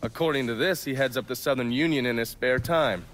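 An actor playing a brash, wry American operative in a crime game, profane and sardonic. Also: male voice, mid-range voice, brisk, fairly bright tone, a little hiss or hum, lightly acted.

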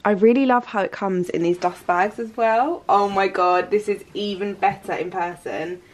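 A woman's voice: medium pitch, moderate pace. A young woman in her twenties chatting casually to her handheld camera at home, enthusiastic and full of fillers, her nose stuffy from hay fever. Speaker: lifestyle vlogger. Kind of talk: casual vlog chat to camera. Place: living room at home, indoors.

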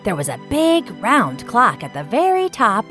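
A woman tells a children's bedtime story at a medium pitch. Her delivery is very animated and clearly enunciated, with music playing behind her. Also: measured pace. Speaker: narrator. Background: background music.

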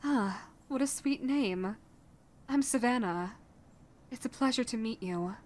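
Woman acting out a slow-talking, caring vampire lady. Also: on the high side, darkish tone, lightly acted. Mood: gentle, coaxing. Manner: soothing, coaxing, speaking directly to the listener. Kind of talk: roleplay audio acting, ASMR-like.

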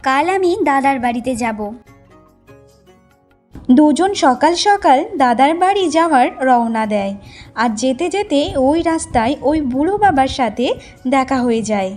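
Woman narrator, high voice, scripted fairy-tale storytelling, faint music behind. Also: animated, normal speed, darkish tone.

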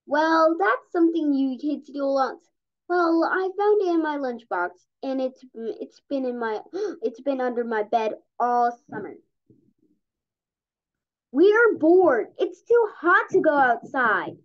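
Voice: sits high, animated, a little slow. A child reading a children's comic aloud as storytelling, stumbling over words now and then. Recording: dull, lo-fi audio.

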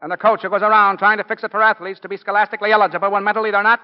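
Actor as a high-voiced, forceful American football coach, old radio. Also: male voice, little pitch movement, very fast, lightly acted. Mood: impassioned. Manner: emphatic, oratorical. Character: forceful, plain-spoken middle-aged college football coach, earnest and wry. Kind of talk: 1940s radio acting. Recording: muffled 1940s radio broadcast recording.

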